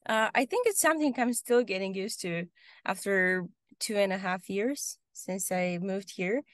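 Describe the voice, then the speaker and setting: A young woman from Romania with a Romanian accent, answering interview questions casually on a video call, often pausing with ums and restarting sentences. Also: medium pitch, expressive, dry recording, studio quality. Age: young adult. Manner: hesitant, many ums and restarts. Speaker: guest. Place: home room, video call.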